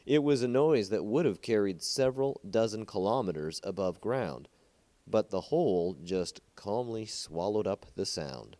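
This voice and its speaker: A man's voice: on the low side, expressive, moderate pace, mellow, no echo. A man narrating a short story from a script as an amateur reader.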